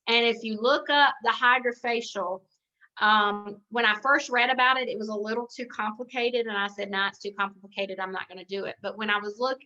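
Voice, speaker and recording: A woman's voice: fairly high pitch, sharp tone. A woman giving an informal training talk on a conference call, chatty and rambling, often thinking aloud. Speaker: sales director training consultants. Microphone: conference call line. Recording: dull, lo-fi conference call audio.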